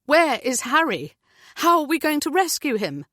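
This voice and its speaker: A woman's voice: high-pitched, big pitch swings, normal speed, fairly bright tone, no room sound, voice pushed up. A woman voicing a panicking mother who is close to screaming, in an audiobook. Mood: anxious. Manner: near-screaming, desperate. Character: panicking mother, frantic and shrill.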